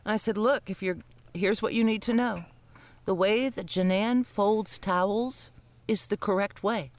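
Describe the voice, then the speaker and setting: A woman's voice: medium pitch, measured pace. A woman co-hosting a podcast in casual chat, blunt and jokey, with some swearing. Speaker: podcast co-host.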